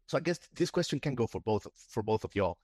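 A man's voice: mid-range voice, big pitch swings, quick pace, a little mellow, breathy edge, dry recording, clean studio sound. A man hosting a podcast interview, asking rambling questions full of restarts and fillers. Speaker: podcast host. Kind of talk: casual interview.

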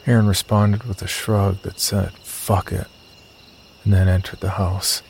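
A man playing the uneasy, cautious narrator of a scripted horror story, telling it in a gravelly voice. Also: deep voice, flat delivery, a little slow, a little mellow, a little hiss or hum. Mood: tense, measured. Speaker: first-person narrator and lead character.

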